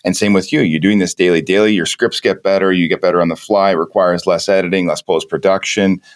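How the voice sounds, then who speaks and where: A man's voice: deep voice, fairly even intonation, fast talker. A man hosting a podcast interview, talking in a confident coaching manner. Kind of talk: interview host commentary.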